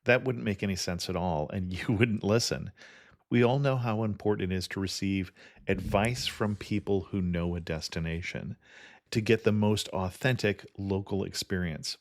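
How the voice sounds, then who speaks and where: A man's voice: deep voice, normal speed, no room sound, clean studio sound. A man hosting a travel podcast, reading sponsor spots and interviewing a guest in an upbeat way. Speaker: podcast host.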